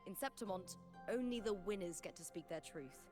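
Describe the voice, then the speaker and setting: Woman acting a proud young warrior over loud music. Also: mid-range voice, normal speed, natural voice. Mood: matter-of-fact, assured. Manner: self-assured, matter-of-fact. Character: proud, confident young female arena warrior, unbothered by gossip. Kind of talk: video game voice acting. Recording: game audio under loud music. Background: game music.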